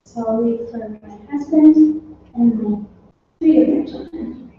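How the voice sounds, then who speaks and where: A middle-aged woman reading family tributes at a funeral in a halting, informal way. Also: a little high. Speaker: granddaughter speaking for her family. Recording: echoey room, distant muffled mic, faint noise.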